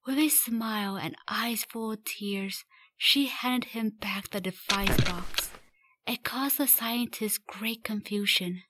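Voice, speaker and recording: A woman's voice: fairly high pitch, slow, sharp tone, a little hiss or hum, low-quality recording. A woman reading scripted story narration for a fan radio drama.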